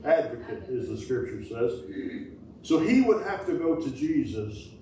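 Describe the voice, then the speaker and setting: A middle-aged man, preaching a sermon in a folksy call-and-response style, with sudden loud bursts. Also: medium pitch, sing-song, measured pace, a little hiss or hum. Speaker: pastor. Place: small wooden-walled church sanctuary. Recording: echoey church from a distance.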